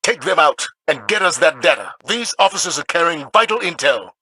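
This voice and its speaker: An actor playing a brisk, commanding mission handler in a video game, calling out urgent orders. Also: male voice, a little high, big pitch swings, sharp tone.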